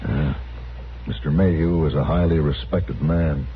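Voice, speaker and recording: An actor playing a courteous, authoritative American sheriff in an old radio western, formal and deliberate in speech. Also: male voice, extremely low pitch, steady tone. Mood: regretful. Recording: tinny, noisy old radio recording.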